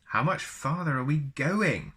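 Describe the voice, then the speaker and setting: A man's voice: on the high side, animated, measured pace, bright, forceful tone. A man reading a book aloud as a storyteller, chatty and often slipping into casual asides. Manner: chatty, wanders into personal asides.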